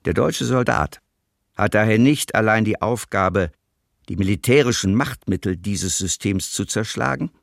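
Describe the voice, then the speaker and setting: A ruthless wartime field marshal in a radio drama. His low voice has a gravelly creak, and he delivers formal orders with cold, deliberate authority. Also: no echo, studio quality. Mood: cold, stern. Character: stern, ruthless German field marshal issuing a military order. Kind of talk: formal read proclamation in radio play.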